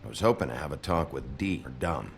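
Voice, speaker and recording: An actor playing a gruff, weary, terse sheriff detective with a gravelly voice in a video game. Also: male voice, extremely low pitch, expressive, brisk, a little hiss or hum. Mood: gruff, businesslike. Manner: terse, blunt. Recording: music behind the voice.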